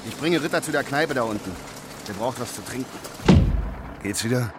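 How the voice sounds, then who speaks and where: An actor playing a brisk, commanding male police commissioner in a radio drama, curt and authoritative. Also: mid-range voice, expressive, heavy background noise, natural voice. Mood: subdued. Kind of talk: German radio drama acting.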